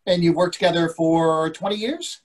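A man's voice: on the high side, normal speed, natural voice, rough recording. A man in his sixties acting in a comic readers theatre play as a dry, commanding management consultant, over a video call. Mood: dry, challenging. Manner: dry, authoritative. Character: self-assured older management consultant, dryly commanding husband. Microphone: computer microphone on video call.

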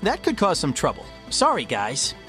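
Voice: mid-range voice, wildly swooping pitch, normal speed, over music. A performer voicing a cocky but caring young hedgehog hero in a comic dub, casual, slangy and confident.

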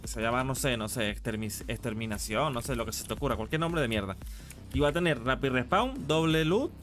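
A man's voice: mid-range voice, lively intonation, fairly quick, fairly bright tone. A man chatting casually on a live game stream, slangy and sweary as he gripes like a regular player. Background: music.

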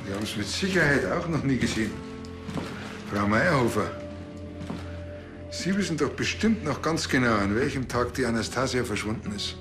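A man's voice: medium pitch, expressive, moderate pace, long pauses, music in the background, natural voice. An actor with a Bavarian accent playing a blunt, wry village policeman in a TV crime comedy, grumbling dryly and colloquially. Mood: wry, probing.

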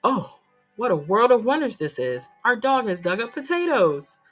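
A woman voicing a kindly, devout father who gently quotes proverbs in a storybook. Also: lowish voice, animated, normal speed, faint music behind, voice pushed down. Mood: marveling.

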